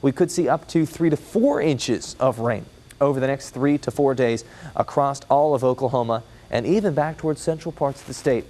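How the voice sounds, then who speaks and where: American guy doing the tv weather, medium pitch, explaining the forecast off the cuff. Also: normal speed, dark tone. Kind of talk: ad-libbed broadcast weather report.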